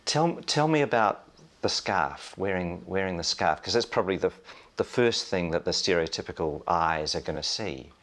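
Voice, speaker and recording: A man's voice: low voice, lively intonation, moderate pace, a bit bright. A male interviewer asking probing, curious questions.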